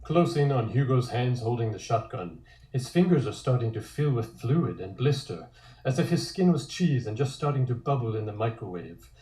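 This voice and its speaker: A man's voice: medium pitch, animated, moderate pace, dark tone. A man narrates scripted scene directions for a horror comic in a table-read style. His mid-range voice sounds roomy and echoey. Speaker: narrator reading stage directions.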